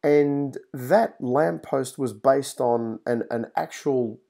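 A man hosts an interview, asking long, meandering questions padded with ums and uhs. His voice is mellow and dark, at a middling pitch for a man. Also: slow, gravelly. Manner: rambling questions with many fillers. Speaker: host. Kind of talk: interview host.

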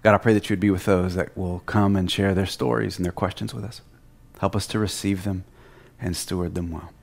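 A man's voice: sits low, fairly even intonation, a little fast, gravelly. A man solemnly reading scripture aloud and leading a church prayer. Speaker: scripture reader and prayer leader.

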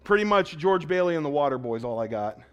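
A man preaches to his congregation in a conversational tone. His voice sits fairly high for a man. He asks the crowd questions and swings between loud, urgent lines and hushed ones. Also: big pitch swings, fast, clear, resonant tone, muffled recording. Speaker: pastor. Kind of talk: sermon.

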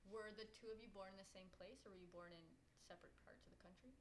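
Fast-talking curious woman interviewer, noisy background. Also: medium pitch, fairly even intonation, reverberant. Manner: curious, asks short questions. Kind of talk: casual interview questions.

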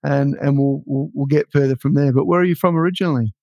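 A man's voice: mid-range voice, fairly even intonation, darkish tone, no room sound, dull audio. A man hosting a podcast who chats and teases his guests and laughs easily. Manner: chatty, teasing, quick to laugh. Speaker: podcast host. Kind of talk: casual podcast interviewing.

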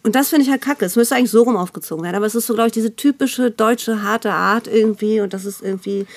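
A woman's voice: on the high side, fast talker. A woman chatting casually as a podcast co-host in a blunt, colloquial and slangy way. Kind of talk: casual chat.

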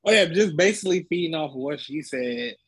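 A man's voice: on the high side, fairly quick, husky. A man chatting casually as a guest, with a slangy, giggly way of telling stories. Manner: giggly, slangy, animated storytelling. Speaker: voice actor guest. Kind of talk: casual chat.

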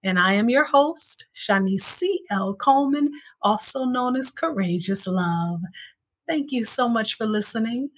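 Higher-voiced woman hosting a podcast. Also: lively intonation, unhurried, breathy edge. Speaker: podcast host.

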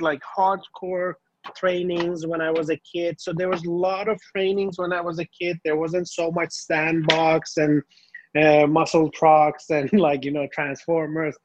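High-voiced man, muffled audio. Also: slight background noise. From Iran, raised in Finland. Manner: repeats phrases, restarts, laughs easily. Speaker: guest, filmmaker and martial artist. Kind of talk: casual interview chat. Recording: dull lo-fi audio.

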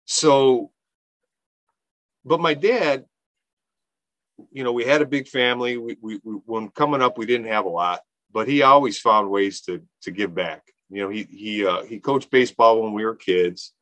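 A man's voice: medium pitch, moderate pace, a bit bright, no room sound. A man in his fifties, an organization founder, talking earnestly in a casual interview, often restarting his sentences. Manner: earnest, rambling, many restarts and fillers. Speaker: nonprofit founder, podcast guest.

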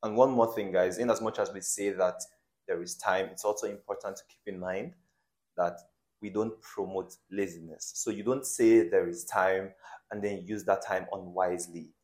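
A man's voice: deep voice, fairly even intonation, moderate pace, a little mellow. A man co-hosting a podcast in casual conversation, often chiming in with agreement. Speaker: podcast co-host. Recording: echoey room.

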